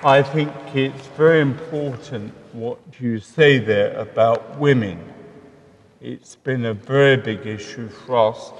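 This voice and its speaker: A man's voice: mid-range voice, slow, a little hiss or hum. A man chairing a panel discussion, responding briefly and handing over to the next speaker. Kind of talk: moderating a panel. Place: large church hall. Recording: long hall reverb.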